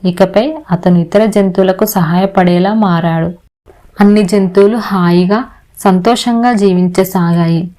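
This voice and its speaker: A woman's voice: on the low side, steady tone, a little slow, warm tone. A woman narrator reading a scripted moral story for children. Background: music.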